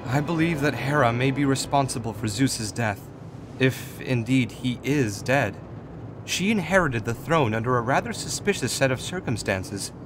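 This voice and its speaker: A man playing a composed, calculating god in authority, speaking in a measured, formal, diplomatic way in a fantasy audio drama. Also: mid-range voice, background noise, lightly acted. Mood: shrewd, measured. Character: Raphael, composed, calculating male god in authority, gently chiding.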